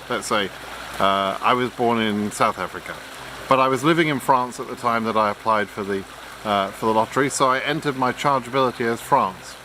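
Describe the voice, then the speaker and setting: A man's voice: medium pitch, noisy background. Blunt man lecturing over running water. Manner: blunt, frank and lecturing, with many ums and restarts. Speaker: immigration advice vlogger. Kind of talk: unscripted explainer to camera.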